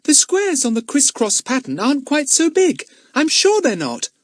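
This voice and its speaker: A man's voice: very high-pitched, wildly swooping pitch, normal speed, dry recording, voice pushed up, heavily acted. A man voicing a small, earnest, stubbornly certain little girl in a children's audiobook. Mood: insistent. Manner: insistent, earnest. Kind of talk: acted children's audiobook dialogue.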